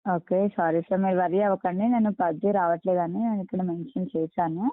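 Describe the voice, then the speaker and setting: Fast-talking female call-center agent, phone line. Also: on the low side, steady tone, no room sound. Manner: apologetic, reassuring, deferential with frequent "sir". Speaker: customer care agent. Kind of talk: phone customer support, polite scripted phrases. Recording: tinny, narrow phone call recording.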